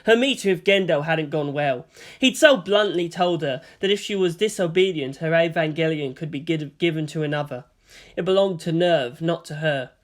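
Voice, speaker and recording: A woman's voice: deep voice, normal speed. A woman reading a story aloud as scripted narration. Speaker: fan fiction reader and narrator.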